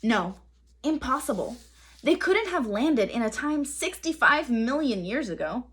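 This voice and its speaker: A woman's voice: fairly high pitch, very animated, a little slow. A woman reading a storybook as a cautious, skeptical young boy who is easily alarmed and tends to protest. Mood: disbelieving. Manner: hesitant, protesting, stammering at times.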